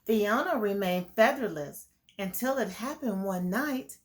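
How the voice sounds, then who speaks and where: A woman's voice: mid-range voice, animated, unhurried, a little hiss or hum. A woman hosting a children's storytime, reading a picture book aloud and explaining it to young listeners. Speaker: children's storytime host and reader.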